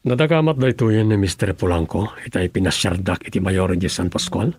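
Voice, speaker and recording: An actor in an Ilocano radio drama playing an earnest male governor who speaks calmly and sincerely. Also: deep voice, brisk, slightly rough, no room sound. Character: earnest adult male governor devoted to public service.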